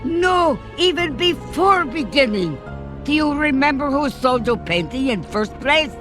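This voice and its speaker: A woman's voice: sits high, animated, a little slow. A woman voicing a scheming, theatrical evil duck sorceress in a cartoon game, gloating and mocking in an Eastern European accent. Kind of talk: cartoon game villain acting. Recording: music behind the voice.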